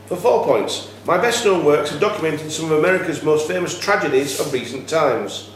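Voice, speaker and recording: A man working as quizmaster, reading questions to contestants in a measured way with informal asides. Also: fairly high pitch, expressive. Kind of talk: reading pub-style quiz questions to contestants. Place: echoey room at a cricket club. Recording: very echoey room, faint noise.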